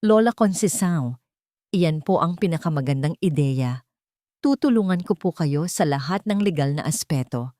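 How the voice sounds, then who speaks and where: A woman's voice: sits low, animated, moderate pace, mellow, no echo, clean studio sound. A woman voicing an earnest, loyal male lawyer in an audio drama, speaking formally and respectfully. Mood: supportive.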